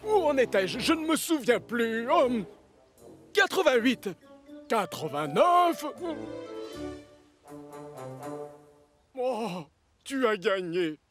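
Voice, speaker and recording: A man's voice: extremely high pitch, very animated, slow pace, a bit bright, soft background music, full character voice. A man voicing a timid, anxious, apologetic little tram engine in a cartoon, hesitant and flustered. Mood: sheepish. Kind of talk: French cartoon dub acting.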